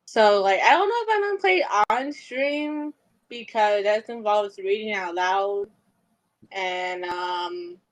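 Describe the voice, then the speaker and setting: A woman's voice: mid-range voice, slow pace, low-quality recording. A young woman from California, whose first language was Vietnamese, chatting hesitantly on a gaming podcast with frequent fillers and giggles. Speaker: podcast guest and streamer. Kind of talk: casual chat.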